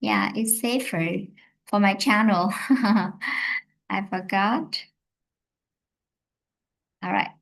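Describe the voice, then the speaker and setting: Woman, live-stream English teacher, slow and halting delivery. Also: medium pitch, gravelly, fairly bright tone, rough recording. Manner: slow, hesitant, many fillers and self-corrections. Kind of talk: live-streamed language lesson.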